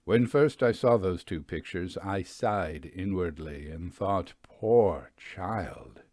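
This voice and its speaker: A man narrating literary stories in a measured, expressive and precise way, as a host reading aloud. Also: low voice, dry recording. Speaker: host and reader of ghost stories. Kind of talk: literary audiobook-style story narration with conversational asides.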